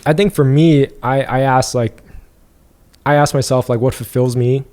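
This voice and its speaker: A man's voice: mid-range voice, steady tone, moderate pace, a little mellow. A young man, a podcast guest, talking casually and rambling with lots of fillers and restarts. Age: young adult. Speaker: podcast guest, apparel brand founder. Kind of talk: casual interview conversation.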